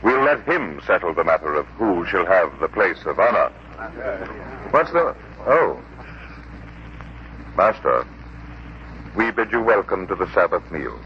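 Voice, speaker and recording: Pompous, swooping old-radio bigwig. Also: male voice, low voice, very animated, measured pace, pauses often. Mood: smug, sly. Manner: pompous, wheedling, theatrical. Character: pompous self-important male farm overseer and host of guests. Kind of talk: 1940s radio drama acting. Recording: muffled, noisy 1940s radio recording.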